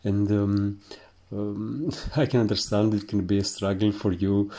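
A man from Greece with a thick Greek accent, a painting teacher talking through his work in a halting way and often searching for words. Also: fairly low pitch, steady tone, dark tone. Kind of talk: instructional voice-over while painting.

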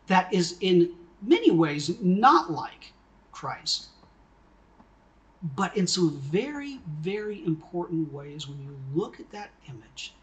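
A man's voice: high-pitched, fairly bright tone, poor audio. A middle-aged man preaching a sermon from his home study, teaching in a conversational way, asking questions and gesturing as he explains. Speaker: pastor. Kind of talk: sermon recorded at home for video.

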